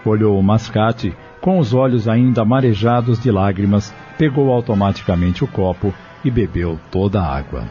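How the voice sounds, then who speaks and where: A man's voice: on the low side, moderate pace, mellow. A man reading scripted literary narration for an audio drama in a formal storytelling manner. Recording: dull lo-fi audio drama recording. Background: prominent background music.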